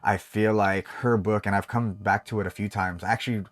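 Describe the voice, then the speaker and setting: A man's voice: fairly low pitch, steady tone, normal speed. A man hosting a podcast, talking in an enthusiastic, rambling way with lots of fillers. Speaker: podcast host. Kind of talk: casual podcast conversation.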